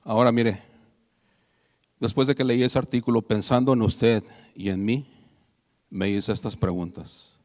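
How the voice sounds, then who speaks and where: A man's voice: lowish voice, fairly even intonation, a little slow, long pauses, a little mellow. A man preaching a conversational sermon to his congregation, asking them rhetorical questions. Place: church hall. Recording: reverberant church hall.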